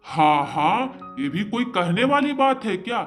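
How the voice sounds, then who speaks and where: A man's voice: high-pitched, very animated, unhurried, a bit bright, soft background music, exaggerated voice. A man voicing an authoritative, generous bird king of the forest, speaking patiently and declaratively in a children's moral-story cartoon. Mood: declaring.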